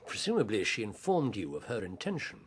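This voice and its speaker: An actor playing a brilliant Victorian detective who questions sharply and teasingly in a radio play. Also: male voice, lowish voice, huge pitch swings, a little fast, slightly rough, full character voice. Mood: dry, curious. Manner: incisive, teasing, probing. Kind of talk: radio drama acting.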